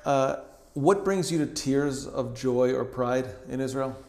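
A man's voice: medium pitch, unhurried, a little mellow. Man asking questions in an echoey room. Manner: brief prompts and short acknowledgments. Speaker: podcast host and interviewer. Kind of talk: casual interview questions.

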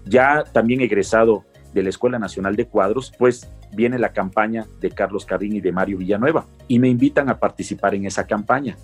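A man's voice: lowish voice, darkish tone, slightly rough. A middle-aged Mexican man with a Mexican accent, earnestly recounting his life story in an interview.

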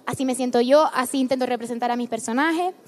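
A woman novelist with a high voice lays out her points on a panel in long, fluent sentences. She speaks frankly and steadily, without hesitating. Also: fast, a little mellow.

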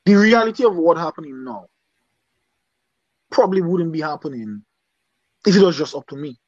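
A man's voice: a little high, lively intonation, normal speed, no room sound, lo-fi sound. A man from Dominica with a Dominican accent, a singer talking casually in an interview and often checking "you understand?". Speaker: singer guest.

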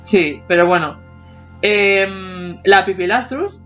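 A woman's voice: medium pitch, takes it slowly, fairly bright tone. A woman in her forties co-hosting a podcast, chatting casually, laughing often and humming bits of old tunes. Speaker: podcast co-host. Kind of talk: casual chat about childhood TV songs. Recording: dull lo-fi sound with faint music behind.

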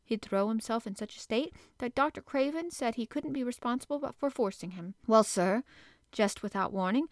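A woman voicing a flustered middle-aged housekeeper who talks in a fussy, breathless rush, higher and louder than her narration. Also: dry recording, studio quality, close to an everyday voice.

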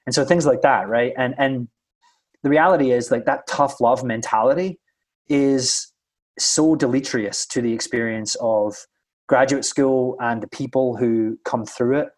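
A man with a softened Scottish accent gives his opinion earnestly in conversation, checking in with short tag questions. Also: medium pitch, measured pace. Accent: Scottish, somewhat flattened. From Scotland.

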